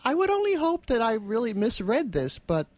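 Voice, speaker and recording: A woman's voice: fairly high pitch, wildly swooping pitch, moderate pace, darkish tone, no echo. A woman co-hosting a casual radio chat, talking in an amused way from a station studio. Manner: amused, chuckling as she talks. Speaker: radio co-host.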